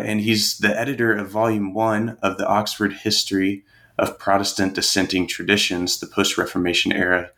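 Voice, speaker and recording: A man's voice: low voice, bright, forceful tone. A man hosting a history podcast, introducing a guest in a friendly way with a few stumbles. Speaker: podcast host. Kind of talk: podcast interview.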